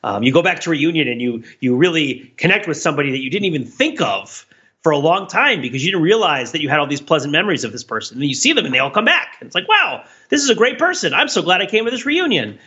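Animated, bright-voiced man, slightly husky. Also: fairly high pitch, fast, muffled recording. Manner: animated, voices imagined remarks as examples. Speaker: podcast co-host. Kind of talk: casual analytical discussion.

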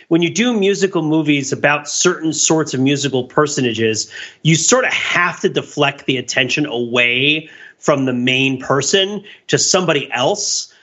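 A man with a bright, forward voice pitched a bit above average chats casually as a podcast panelist. He muses tentatively, circling toward his point. The recording is slightly lo-fi. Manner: tentative, musing, chuckles through some phrases. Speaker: podcast panelist discussing film. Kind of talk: casual chat, musing commentary.

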